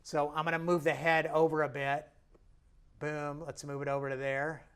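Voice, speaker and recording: A man teaching a painting lesson in a casual, joking way, talking as he works and steps back to look, with a slightly hoarse voice. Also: on the high side, completely flat delivery, normal speed, fairly bright tone. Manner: chatty, self-deprecating and jokey while working; talks while painting and stepping back from the canvas. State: slightly hoarse, clearing his throat. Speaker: painting instructor.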